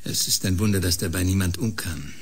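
An actor playing a cool, sardonic, ambitious research scientist with a dry, matter-of-fact manner in a radio drama. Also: male voice, low-pitched, brisk, slightly rough. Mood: dry, skeptical. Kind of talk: German science-fiction radio drama acting.

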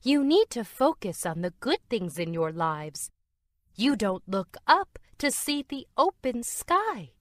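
A woman's voice: medium pitch, dry recording, clean studio sound, pitched above the performer's own voice. A woman voicing an earnest, coaxing female cat from a pirate ship in a children's storybook. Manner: earnest, pleading. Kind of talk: children's storybook read-aloud.

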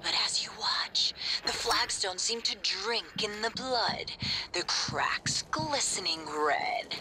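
A woman playing a spirited young game master who narrates dark fantasy in a theatrical, sometimes hushed way, in a video game audio log. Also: mid-range voice, lively intonation, slow, a little hiss or hum, heavily acted, low-quality recording. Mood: ominous, suspenseful.

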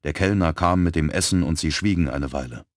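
A man narrating an audiobook thriller in an even, composed manner, close to a studio mic. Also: very deep voice, a little fast, no echo. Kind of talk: scripted narration.

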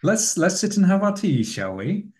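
A man's voice: sits high, big pitch swings, normal speed, a little mellow, voice pushed up. A man playing a fussy, anxious, courteous doctor in a tabletop game, speaking with prim formality. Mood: prim, secretive. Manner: prim, formal, exasperated politeness.

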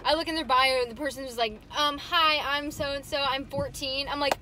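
A woman's voice: high voice, fairly even intonation, moderate pace, bright, forceful tone, poor audio. A young woman in a car asking her friends silly questions and teasing them, giggling, recorded on a dashboard phone. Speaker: friend and guest. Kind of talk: casual chat with friends. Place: inside a car. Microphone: phone camera on the dashboard. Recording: car stereo music behind. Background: music playing in the car.

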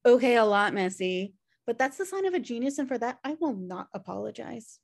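A woman's voice: a little high, lively intonation, brisk, gravelly. A woman playing a messy, guilt-ridden, wry sister in a sitcom table read, rambling hesitantly. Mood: apologetic, wry. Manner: rambling, hesitant, self-deprecating. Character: messy, guilt-ridden, wry younger-at-heart woman pleading for her brother. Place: home room on a video call.

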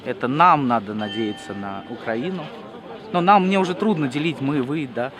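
A man, a journalist from Russia, giving a slow, thoughtful outdoor interview with hesitant pauses. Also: mid-range voice, expressive. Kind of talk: reflective street interview. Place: outdoor city square at a memorial gathering. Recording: outdoor crowd noise behind.

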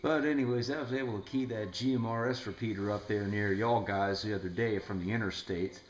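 Guy chatting on his ham radio, kinda deep, rambly explainer, roomy indoor echo. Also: brisk, a little hiss or hum. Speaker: ham radio operator making a video. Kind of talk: casual radio chat. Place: indoors in a home room. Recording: echoey room.

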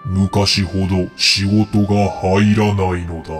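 Pompous wish-granting dragon, played by a male actor, low and unhurried, world-weary, comedic audio drama with music behind. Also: flat delivery. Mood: grave, weary. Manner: slow, pompous, formal pronouncements.

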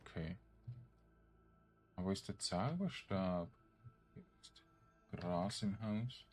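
Slow-talking, low-voiced man gaming. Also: animated, darkish tone, soft background music. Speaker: gamer streaming a let's play. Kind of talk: casual gameplay commentary.

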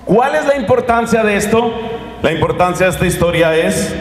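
A middle-aged Colombian man preaching with a handheld microphone, animated and gesturing as he talks straight to his listeners in a hall. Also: high-pitched, moderate pace. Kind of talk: lively sermon talk to an audience. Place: conference hall with curtained stage. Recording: echoey hall reverb.

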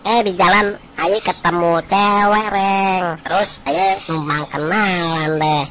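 A man voicing a chatty, excitable, hapless village freeloader in a comic cartoon, grumbling and exclaiming in colloquial speech. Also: sits high, slow pace, a little hiss or hum. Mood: complaining.

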